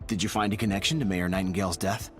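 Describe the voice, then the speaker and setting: An actor playing a serious, matter-of-fact squad officer in a video game. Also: male voice, deep voice, fairly even intonation, quick pace, soft background music, natural voice.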